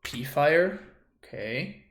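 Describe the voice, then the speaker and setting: A man's voice: a little high, animated, drawn-out words, roomy sound, a little hiss or hum. Man with a Spanish accent, thinking aloud. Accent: Spanish-accented English. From Argentina. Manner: thinks aloud, wry and self-deprecating, frequent restarts. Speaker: streamer. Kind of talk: casual gameplay commentary.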